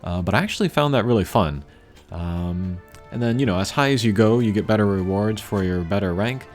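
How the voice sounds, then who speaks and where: Low-voiced man, casual game commentary. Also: a little fast. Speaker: game video creator. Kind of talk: casual unscripted gameplay commentary. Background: video game music.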